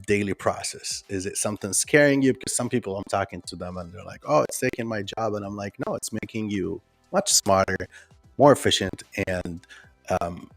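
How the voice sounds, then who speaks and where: A man's voice: fairly low pitch, fairly quick, gravelly, no room sound, faint music behind. A man whose first language is not English presents a live online workshop in a loose, conversational way, with many ums and restarts, and reads out viewer comments.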